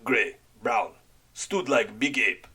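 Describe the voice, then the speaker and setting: A man voicing Tan Lo, a frightened sailor who speaks in halting, broken English. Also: medium pitch, pushed and bright, slightly rough, heavily acted. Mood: fearful.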